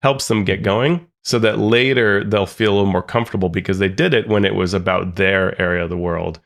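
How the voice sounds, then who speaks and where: Man, very deep, gravelly, chatty podcast co-host, lots of asides. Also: fairly even intonation, a little fast, a bit bright. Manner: chatty, filler-heavy, full of asides. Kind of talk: casual podcast discussion.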